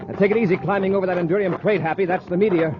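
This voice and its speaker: An actor playing a decisive space patrol commander in 1950s radio adventure style, giving brisk orders. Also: male voice, high-pitched, steady tone, natural voice. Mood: urgent, brisk. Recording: dull, noisy old radio recording.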